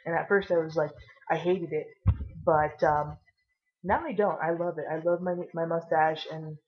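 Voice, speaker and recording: A tired, caffeinated woman vlogging casually with a low voice, rambling and swearing now and then. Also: steady tone, normal speed, highs cut off.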